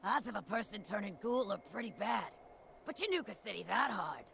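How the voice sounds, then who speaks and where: A tough ghoul woman from a post-apocalyptic game. Her voice has a creaky, gritty edge and moves animatedly as she trades cocky, streetwise wisecracks. A faint ambient noise sits behind her. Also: mid-range voice, expressive, brisk, a bit bright. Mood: wry. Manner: cocky, streetwise, slangy talk. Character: tough, wisecracking, jaded female ghoul wasteland brawler. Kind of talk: video game character dialogue.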